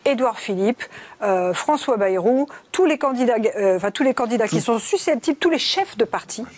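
A woman's voice: fairly high pitch, expressive, normal speed, a little mellow, no room sound. A woman in live political discussion, explaining things in a conversational, emphatic way.

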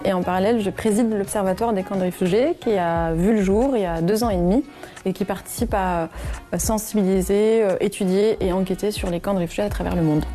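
A woman in her twenties from Syria introducing herself and her work in a measured, hesitant manner. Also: medium pitch, warm tone. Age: 20s. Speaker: asylum court rapporteur and association president. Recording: music under the voice. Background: music.

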